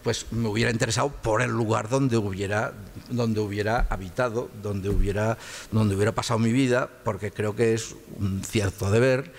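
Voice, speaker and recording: Hesitant older lecturer from Catalonia. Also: male voice, lowish voice, fairly even intonation, normal speed. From Catalonia, Spain. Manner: hesitant, repeats words while searching for phrasing. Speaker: guest lecturer. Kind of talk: conversational public lecture.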